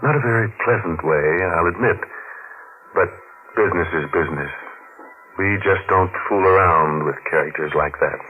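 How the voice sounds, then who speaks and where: A man playing a ghoulish, sardonic radio horror host with morbid humour and a mock-genial, drawn-out delivery in 1940s style. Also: sits low, slight background noise, close to an everyday voice. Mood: sardonic teasing. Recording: muffled 1940s radio broadcast with music.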